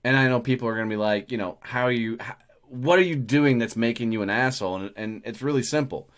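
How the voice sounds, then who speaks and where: Blunt, cussing host. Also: male voice, mid-range voice, fast talker, a bit bright. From Texas, USA. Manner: blunt, swears freely. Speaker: podcast host. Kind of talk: casual conversation.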